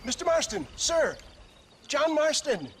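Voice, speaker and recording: A man's voice: very high-pitched, very animated, measured pace, a bit bright, a little hiss or hum, full character voice. A man playing a pompous older snake-oil salesman in a video game, florid and wheedling, with stammered hesitations. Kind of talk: video game cutscene acting.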